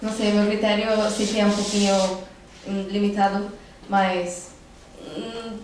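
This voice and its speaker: A teenage girl from Brazil talks on a panel in a relaxed, faltering way, pausing often and leaning on fillers. Her voice comes from some way off the mic. A large hall throws a heavy echo around it. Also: mid-range voice, background noise. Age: teens. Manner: hesitant, many fillers and pauses. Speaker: panel speaker. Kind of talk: casual panel talk. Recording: echoey hall, mic at a distance.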